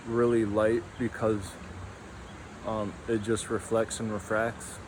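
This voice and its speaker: A man's voice: fairly low pitch, little pitch movement, takes it slowly, noisy background. A young man rambling through a casual, tangential monologue full of fillers, recorded outdoors. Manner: meandering, tangential, many fillers. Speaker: vlogger.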